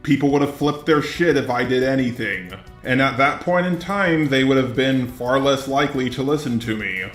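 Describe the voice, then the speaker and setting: A man's voice: medium pitch, normal speed, hardly any pauses, a bit bright, echoey room, soft background music. A man delivering opinionated drama commentary in a blunt, profane, indignant way. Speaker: commentary YouTuber, host.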